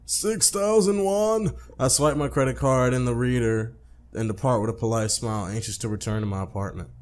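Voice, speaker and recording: A man's voice: fairly low pitch, steady tone, quick pace. A man hosting a let's play, reading a visual novel aloud conversationally, with casual asides and stumbled, repeated phrases. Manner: conversational, stumbles and rereads lines.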